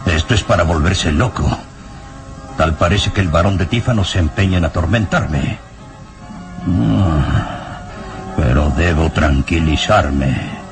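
Scared old professor in a radio serial, gasping self-talk, drawn-out delivery, loud music under him. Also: male voice, low-pitched. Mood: nervous, agitated. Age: older. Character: anxious elderly professor terrified by a werewolf.

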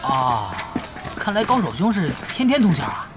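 An actor playing an eager, chummy young online gamer in an audio drama, excitable and chatty. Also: male voice, fairly high pitch, moderate pace, very noisy.

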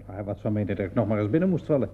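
A man's voice: fairly low pitch, expressive, very fast, natural voice. An actor playing a courteous, probing police inspector in a radio play, formal and terse in his questions. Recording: dull, muffled old radio play recording.

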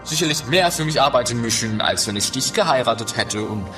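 A man playing a tactless lover in a comedy radio play, halting and matter-of-fact as he appeases. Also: mid-range voice, expressive, over music, natural voice. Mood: appeasing. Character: placating, tactless male lover pleading for calm. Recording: distant from the mic.

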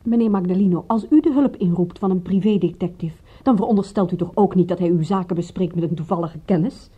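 An actress in a radio drama playing a private detective's shrewd, guarded assistant, with a dry, sardonic manner. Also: female voice, fairly low pitch, quick pace, husky. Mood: firm, rebuking. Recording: muffled, dull old radio recording.

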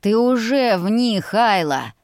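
A woman's voice: fairly high pitch, lively intonation, slow pace, darkish tone, dry recording, clean studio sound. A woman voicing a stubborn male dragon general, curt, smug and possessive, in a fantasy audiobook. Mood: smug, insistent.

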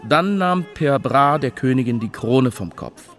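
An actor in a radio drama playing a sober courtier who recalls his queen in formal, measured storytelling. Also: mid-range voice, lively intonation, soft background music, natural voice.

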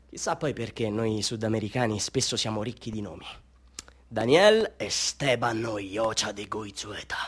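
An actor playing a chatty, self-mocking Bolivian street photographer hustling tourists, with ingratiating, mock-grand patter in a theatrical radio monologue. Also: male voice, medium pitch. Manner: showman patter, ingratiating, mock-grand, swinging into wry asides.

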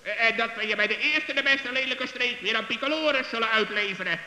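Piercing, distrustful bird. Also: male voice, very high-pitched, a little fast, pushed and bright, full character voice. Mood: stern. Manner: sarcastic, curt. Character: sharp, mocking, distrustful male talking bird. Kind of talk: children's radio play acting. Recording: echoey hall reverb.

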